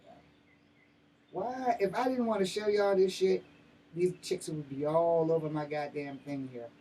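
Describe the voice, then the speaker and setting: A man's voice: a little high, steady tone, unhurried, warm tone, husky. An American man in his fifties chatting casually to viewers in a rambling, profane, slangy way. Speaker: host of his own vlog. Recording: noisy, distant-sounding mic with room ambience.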